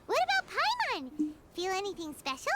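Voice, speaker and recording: A tiny, indignant fairy guide from a fantasy game. The squeaky, childlike voice is extremely high and wildly animated, exclaiming proudly about herself in the third person. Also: female voice, normal speed, loud music behind the voice, heavily acted. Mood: eager, proud.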